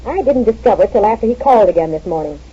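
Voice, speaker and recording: A woman's voice: medium pitch, expressive, rapid-fire, breathy edge. A woman playing a jealous wife who interrogates her friend in a 1940s radio comedy, on a muffled old recording. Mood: suspicious. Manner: indignant, interrogating, theatrical. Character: jealous suspicious wife, sharp and dramatic.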